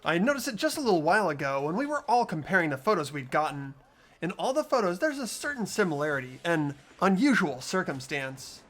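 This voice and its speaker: Man acting as earnest teenage boy, high-pitched, lively intonation, insistent, accusatory, video game voice. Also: fairly quick, full character voice. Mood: explaining.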